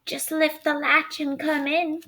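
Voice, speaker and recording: A woman voicing a cunning storybook wolf posing as an old grandmother, hoarse and falsely welcoming. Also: sits high, level tone, a little slow, sharp tone, slight background noise, pitched above the performer's own voice, full character voice. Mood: croaky, coaxing. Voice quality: croaky, hoarse. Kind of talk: storybook read-aloud.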